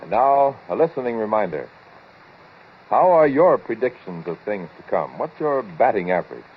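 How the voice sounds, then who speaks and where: A male announcer reads in classic 1940s network radio style, with a lowish voice and lively intonation. The old recording is dull, with music behind. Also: moderate pace, a little hiss or hum.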